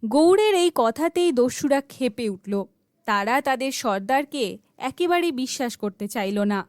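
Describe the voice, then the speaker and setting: A woman's voice: sits high, animated, normal speed, a little mellow, no echo, studio quality. A woman narrating a scripted children's folk tale.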